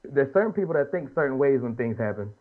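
A man in his late thirties speaks quickly in an earnest, colloquial way, as if arguing a point among fellow panelists. His pitch sits in the middle for a man, and he often rephrases for emphasis. The audio is muffled and lo-fi. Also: animated. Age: late 30s. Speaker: podcast panelist. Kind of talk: casual opinionated panel talk.

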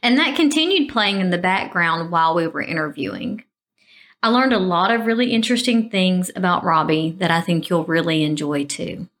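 A woman's voice: on the low side, animated, normal speed, fairly bright tone. A woman speaking as a podcast host, asking questions in a conversational interview style.

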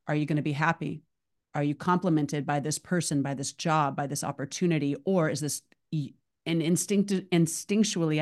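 Low-voiced chatty woman podcast host. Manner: chatty, rambling, self-described tangent talker. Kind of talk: casual podcast conversation.

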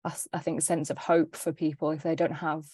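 A woman co-host makes her points thoughtfully, hedging as she goes. Her voice is very low for a woman and recorded dry and close. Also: little pitch movement, a little fast, darkish tone, breathy edge, no echo. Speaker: podcast co-host. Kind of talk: casual podcast chat.

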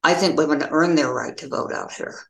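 An older American woman giving reflective interview answers as a novelist, in a halting, thoughtful way with pauses mid-phrase. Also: low-pitched, gravelly, a bit bright, roomy sound, low-quality recording.

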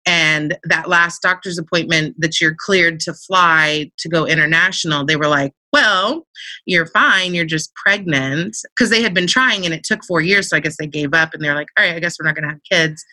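A woman's voice: low voice, moderate pace, pushed and bright, no echo, low-quality recording. A woman, a podcast guest, telling personal stories in a chatty way with lots of filler words. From Central Virginia, USA. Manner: chatty storytelling, lots of "like" and "you know". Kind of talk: casual interview chat.

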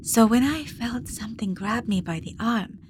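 An actress playing a wistful woman diver who recounts haunting memories in a measured, hushed, intimate audio drama monologue. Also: fairly high pitch, a little hiss or hum, lightly acted. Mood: hushed, uneasy. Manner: measured, hushed, reflective storytelling.

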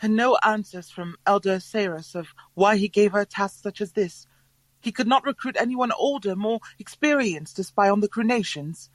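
An actor playing a worried young alien warrior, protective and earnest, with formal diction in an audio drama. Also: male voice, high-pitched, normal speed, a bit bright, no room sound, low-quality recording.

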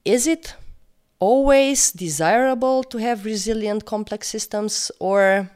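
A woman's voice: mid-range voice, a little slow, a little mellow, smooth. A woman whose first language is Romanian, interviewing a guest with curious, long-winded questions.

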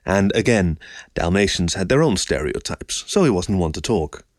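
A man's voice: sits low, animated, moderate pace, no room sound, clean studio sound. A man reading a scripted story as an audiobook narrator.

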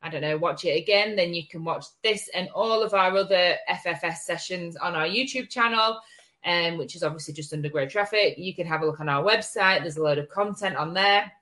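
Low-pitched woman hosting a casual chat. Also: a little fast, sharp tone. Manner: informal, wry, with mild swearing. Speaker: host of a marketing agency live show.

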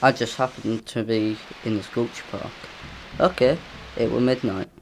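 A man's voice: mid-range voice, steady tone, moderate pace, a little hiss or hum, poor audio. A man reading a spooky first-person story in a plain, earnest way.

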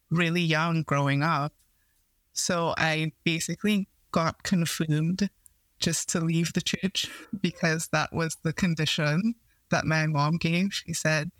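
A woman's voice: low voice, fairly bright tone, no echo. Woman. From Trinidad and Tobago. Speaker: guest, writer and coach. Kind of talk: casual personal storytelling.

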